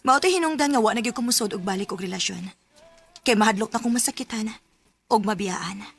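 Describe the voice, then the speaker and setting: A woman's voice: mid-range voice, fairly quick, gravelly, breathy edge. An actress playing a lovestruck young single mother with a secret in an emotional radio drama, speaking with a Cebuano accent. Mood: sorrowful.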